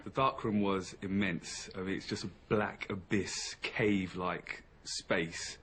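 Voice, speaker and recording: A man's voice: sits low, steady tone, slow pace, a bit bright. A man in his thirties from England, describing himself plainly as a dating show contestant. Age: 30s.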